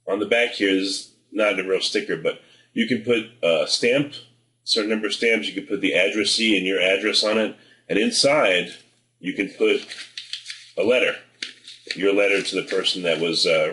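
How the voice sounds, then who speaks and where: A man's voice: sits low, bright, forceful tone. A man presenting informal marketing advice in a direct, persuasive, plain-spoken way. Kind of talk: informal sales advice to camera. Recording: roomy indoor echo.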